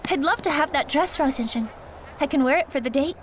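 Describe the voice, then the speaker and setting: A woman's voice: high voice, fairly quick, slight background noise, close to an everyday voice. A woman playing a sweet, polite and eager young woman in an audio drama, gushing. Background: light music.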